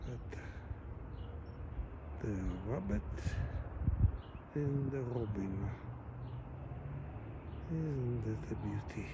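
A man with a foreign accent gently narrating a morning walk through a park while filming with a handheld camera. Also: medium pitch, expressive, drawn-out words, long pauses, heavy background noise. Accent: foreign accent, French or Italian. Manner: gentle, admiring, simple phrasing with non-native grammar. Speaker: vlogger filming a nature walk. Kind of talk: casual walking commentary. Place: outdoors in a city park by a lake.

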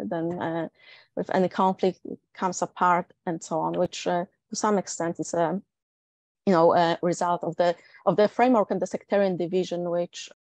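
Middle-aged Polish woman, panel guest; low, measured, dry close recording. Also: a little mellow, dry recording. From Poland. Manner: measured and reflective, many filler uhs. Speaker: panel speaker. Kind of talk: panel discussion.

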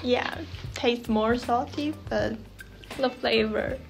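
A young woman speaks slowly in short, simple phrases. Her voice is fairly high, and music plays clearly behind her casual reviews. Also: fairly even intonation. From Taiwan. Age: young adult. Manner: short, simple phrases in non-native English. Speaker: snack taster. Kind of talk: casual on-camera reaction chat. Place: indoor room with block wall, seated at table.

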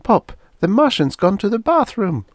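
An eager little boy, put on by a grown male reader. He blurts things out in a raised, swooping pitch, as in a storybook. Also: big pitch swings, moderate pace, dry recording, studio quality. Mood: excited, blurting. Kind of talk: storybook character reading.

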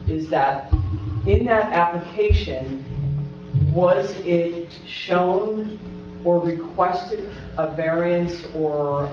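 A man on a township board asking questions at a public meeting, speaking haltingly with many restarts. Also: fairly high pitch. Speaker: board member. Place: large township meeting room. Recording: echoey room, distant and muffled.

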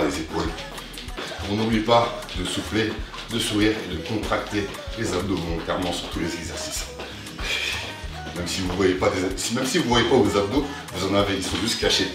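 A young man coaching a workout while exercising, cheering on with short, motivating instructions. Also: lowish voice. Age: young adult. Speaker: fitness coach. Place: living room, indoors. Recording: very echoey room, distant mic, music behind. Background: clear music.

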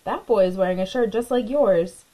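A woman's voice: medium pitch, moderate pace, dark tone, close to an everyday voice, rough recording. A woman voicing a chatty, joking little boy in a storybook reading, with chirpy, upbeat remarks. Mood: cheerful.

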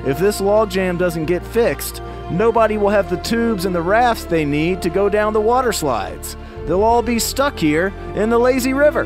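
A concerned storybook pal, played by a man talks in a high voice. He is thoughtful and a bit fretful, with music clearly heard behind him. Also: animated, normal speed, few pauses. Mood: worried.

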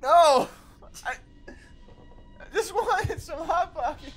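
A man's voice: extremely high pitch, expressive, slow, a bit bright, soft background music. A man playing a game as a guest, chatting casually with wry, muttered quips. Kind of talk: casual gameplay chat.

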